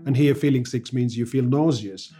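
A man's voice: medium pitch, normal speed, dark tone, slightly rough. A man from India whose first language is Tamil, a GP telling practice anecdotes in an earnest conversational way with frequent restarts. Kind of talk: conversational discussion.

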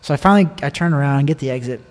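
A man's voice: a little high, racing, darkish tone. An American man telling a personal story in casual conversation, repeating phrases for emphasis. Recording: long echoing reverb.

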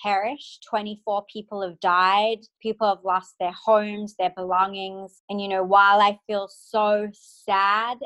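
Woman guest, 30s, earnest and emotional, mid-pitched, halting delivery. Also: level tone, fairly bright tone, low-quality recording. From Australia. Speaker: guest, clinical psychologist. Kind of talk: remote interview.

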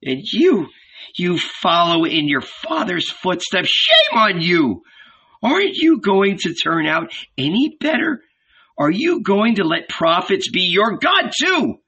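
A man's voice: high-pitched, expressive, takes it slowly, hardly any pauses, pushed and bright, highs cut off, voice pushed up, full character voice. A man voicing a stern, fierce old woman with a harsh, scolding way of talking in an audiobook reading. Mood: furious.

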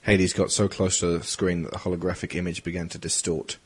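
A man with a husky voice reading dramatic scripted narration for an audio drama. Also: sits low, flat delivery, fairly quick.

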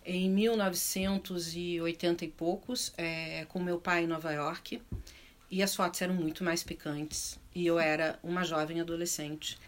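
Halting, lower-pitched southern Brazilian woman. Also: flat delivery. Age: middle-aged. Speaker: panel speaker on arts and culture. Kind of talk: unscripted panel discussion.

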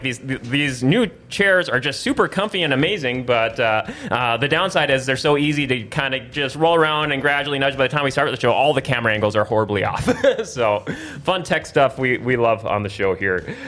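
A man's voice: mid-range voice, a bit bright. A man hosting a casual chat show in a rambling, upbeat way. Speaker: show host.

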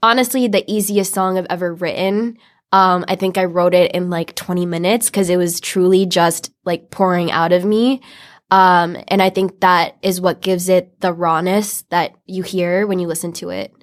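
A woman's voice: fairly low pitch, fairly even intonation, normal speed. A woman from New England talking informally as an interview guest, telling things in a conversational way. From United States, New England. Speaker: interview guest, singer and producer. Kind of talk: casual interview chat.

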